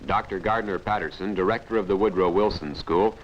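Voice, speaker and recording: A man's voice: low-pitched, a little fast. A man with an American accent reading scripted narration for an early 1960s newsreel. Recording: dull, noisy 1961 newsreel soundtrack.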